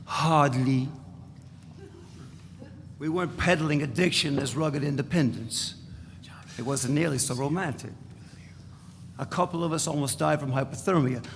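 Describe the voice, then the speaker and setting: A man's voice: on the high side, unhurried, long pauses. A man reading the part of a brash, sardonic former radical recounting wild old exploits in a dramatic reading. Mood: boastful. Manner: boastful, sardonic, storytelling. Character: brash older male ex-radical storyteller. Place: large echoey event space. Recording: long hall reverb, faint room noise.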